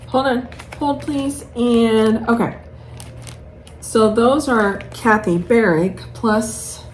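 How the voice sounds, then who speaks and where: A woman chatting casually to camera as a craft vlogger, gushing and thinking aloud as she shows off patterns. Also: medium pitch. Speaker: cross-stitch vlogger showing patterns. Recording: clearly noisy room ambience.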